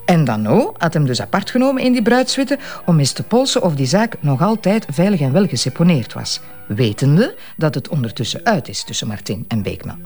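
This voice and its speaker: An actress playing a detective's teasing, self-assured wife in a radio drama, with playful, colloquial Flemish speech. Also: female voice, deep voice, very animated, brisk, darkish tone, soft background music. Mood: confiding, animated. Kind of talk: naturalistic radio drama dialogue.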